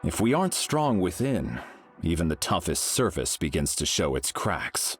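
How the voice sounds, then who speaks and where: A man's voice: low-pitched, very animated, moderate pace, slightly rough, faint music behind, exaggerated voice. A man playing a solemn, stately and philosophical game hero who speaks formally and with measure. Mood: grave, formal. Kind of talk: video game character dialogue.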